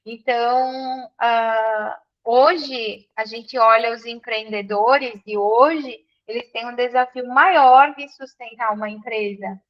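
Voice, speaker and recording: A woman's voice: fairly high pitch, steady tone, slow pace, muffled recording. A woman from southern Brazil with a gaúcho accent, talking reflectively as an interview guest and repeating phrases for emphasis. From Serra Gaúcha, Rio Grande do Sul, Brazil. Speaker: interview guest, business mentee. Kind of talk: reflective conversation.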